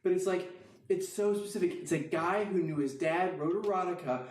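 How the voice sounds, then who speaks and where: Male podcast co-host, somewhat high register, casual discussion, slightly off-mic in a reverberant room. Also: moderate pace, a little mellow. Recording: echoey room, distant mic.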